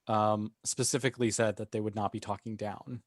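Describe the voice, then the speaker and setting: A man's voice: lowish voice, flat delivery, a little fast, no room sound, clean studio sound. A man co-hosting a podcast, chatting casually in a panel discussion. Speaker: podcast co-host.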